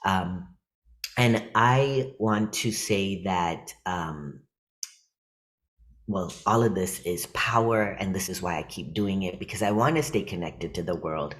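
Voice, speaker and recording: A man from California with a deep, low voice, speaking to a workshop over a video call. He talks in a loose, reflective way, full of fillers and restarts, like someone chatting rather than lecturing. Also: slow, a bit bright. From California, USA. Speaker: workshop presenter and comedian. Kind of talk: casual talk to an online workshop.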